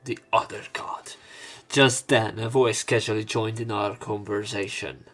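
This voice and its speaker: A man with a fairly low voice narrates a visual novel as a let's player. He reads dialogue expressively and comments in a casual, blunt way. Soft game music plays behind him. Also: unhurried. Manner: sweary reactions, expressive dialogue reading.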